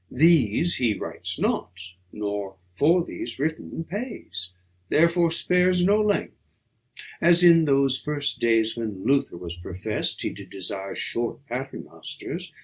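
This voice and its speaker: A man reading poetry aloud as an audiobook, in a declamatory, measured and emphatic dramatic style. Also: medium pitch, husky. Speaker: audiobook reader.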